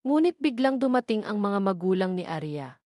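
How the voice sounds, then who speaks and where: A woman's voice: mid-range voice, big pitch swings, normal speed, darkish tone, dry recording, studio quality. A woman narrator reading a scripted, dramatic romance story.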